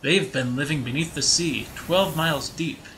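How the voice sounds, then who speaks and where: A man's voice: a little high, moderate pace, a bit bright, a little hiss or hum, voice pushed up, poor audio. A man playing a weathered, brooding old lighthouse keeper who muses wistfully in a folksy way, in an amateur audio drama. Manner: folksy, musing delivery.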